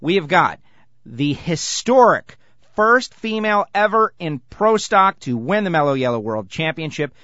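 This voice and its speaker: A man hosting a sports radio show, eager and animated as he interviews a guest. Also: a little high, no room sound, dull audio. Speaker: radio host.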